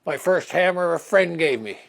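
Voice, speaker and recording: A gravelly, husky older man with a slightly raised pitch. He talks in a plain-spoken, homespun way, as in a local news interview clip played back on a podcast. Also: animated, normal speed. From Nova Scotia, Canada. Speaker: hammer collector in a news clip.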